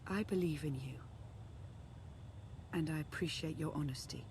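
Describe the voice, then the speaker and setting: An actress playing a calm, watchful adviser in a video game, speaking in a measured, composed way. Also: female voice, sits low, vocal fry, slight background noise. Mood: guarded, supportive. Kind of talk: video game dialogue acting.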